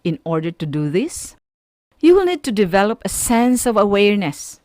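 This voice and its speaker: A woman with a Filipino accent teaching students on an educational radio program, speaking emphatically and deliberately in short, separated phrases. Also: mid-range voice, big pitch swings, a little mellow, no room sound, studio quality. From Philippines. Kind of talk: educational radio lecture, addressing students directly.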